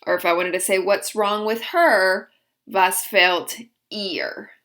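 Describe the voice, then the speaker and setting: Woman teacher, bright tone, unhurried, carefully modeled phrases. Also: mid-range voice, steady tone. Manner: slow, deliberate modeling of phrases with explanations. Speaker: German teacher. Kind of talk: recorded vocabulary lesson.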